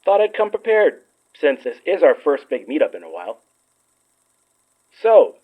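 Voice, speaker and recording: A man's voice: fairly high pitch, wildly swooping pitch, normal speed, narrow, tinny audio. An actor playing an affectionate, teasing young man in an audio drama, playful and reassuring. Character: Murphy, teasing, affectionate, open-hearted young friend and ex. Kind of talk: naturalistic audio drama acting.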